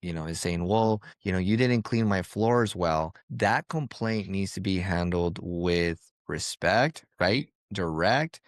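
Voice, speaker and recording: Male podcast host, deep voice, dry close sound, emphatic advice-giving delivery. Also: takes it slowly, few pauses, no echo. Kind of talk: podcast interview talk.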